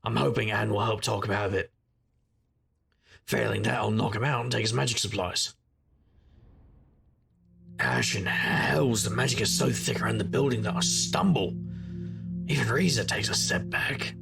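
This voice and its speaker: Tired middle-aged wizard detective, creaky and kinda low, wry noir storytelling, big music bed. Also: male voice, normal speed, long pauses. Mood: brooding. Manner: wry, brooding. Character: world-weary, wry middle-aged male magician detective. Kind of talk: first-person noir narration, audio drama.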